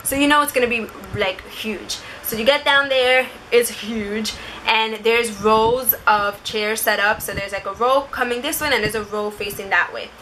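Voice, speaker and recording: A woman's voice: a little high, fairly even intonation, normal speed, bright, forceful tone, a little hiss or hum, rough recording. A young woman telling a story and giving advice in a casual, chatty vlog style, saying "like" constantly. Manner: chatty, informal, uses "like" constantly. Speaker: vlogger.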